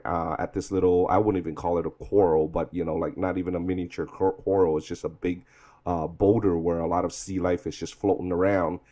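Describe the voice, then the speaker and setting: A man narrating a vlog off the cuff, rambling and reflective, with halting delivery. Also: low-pitched, a little mellow. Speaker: vlog host. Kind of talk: unscripted voice-over narration.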